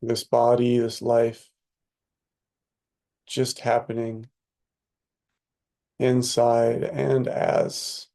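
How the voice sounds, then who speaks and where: A man leading a guided meditation as a teacher, speaking soothingly in short, unhurried phrases with long pauses. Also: medium pitch, completely flat delivery, creaky, dark tone.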